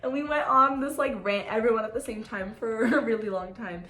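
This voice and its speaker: A woman's voice: a little high, brisk, roomy sound. Chatty young woman from Brazil. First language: Portuguese. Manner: chatty, animated storytelling with lots of "like". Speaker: vlogger. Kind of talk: casual chat to camera.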